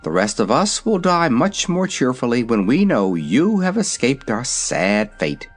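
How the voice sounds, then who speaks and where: A man's voice: mid-range voice, very animated, a little slow, faint music behind. A man voicing a kindly, thoughtful little wizard in a fairy-tale story. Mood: resigned, kindly. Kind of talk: storybook character voice.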